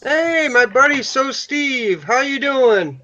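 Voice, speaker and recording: A man's voice: very high voice, animated, slow pace, pushed and bright. A man with a Michigan accent shifting toward the Upper Peninsula, chatting casually on a livestream call. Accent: Michigan, turning Upper Peninsula. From downstate Michigan. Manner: chatty, repeats words. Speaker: livestream guest. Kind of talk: casual chat. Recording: dull lo-fi stream audio.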